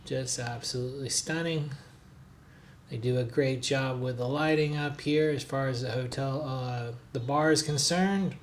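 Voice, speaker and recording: A man narrating a hotel and city tour for his travel vlog in a relaxed, chatty way, with frequent "uh" and "um" fillers. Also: mid-range voice, unhurried, a little hiss or hum. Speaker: travel vlogger, hotel reviewer. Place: recorded on the road in a noisy city.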